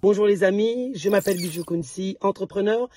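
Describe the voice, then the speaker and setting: Woman entrepreneur, mellow and slightly hoarse, loose chatty vlog delivery. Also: medium pitch, brisk, faint music behind. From DR Congo. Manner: chatty, with frequent restarts and repeated words. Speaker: entrepreneur and vlogger. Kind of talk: casual vlog talk to viewers.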